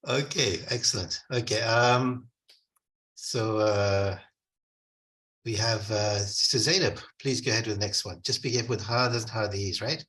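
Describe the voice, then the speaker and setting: Male online tutor, headset on a call, gentle encouraging manner, pauses often. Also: fairly low pitch, poor audio. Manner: patient, encouraging, hesitant with fillers. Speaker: Arabic teacher. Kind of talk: online class teaching.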